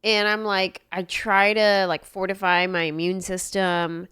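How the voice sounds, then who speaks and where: A woman's voice: mid-range voice, level tone, a little slow, a bit bright, smooth, no echo. A congested woman hosting a podcast in a studio, chatting casually and telling stories with wry, self-mocking asides. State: congested with a cold. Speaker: podcast host. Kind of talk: casual chat.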